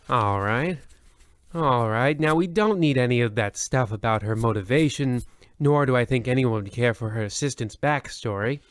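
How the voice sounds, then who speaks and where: A man's voice: mid-range voice, no room sound, lightly acted. A fussy radio editor, played by a man grumbling to himself in an old-time radio comedy. His exasperated voice sits dry and close, with no room sound.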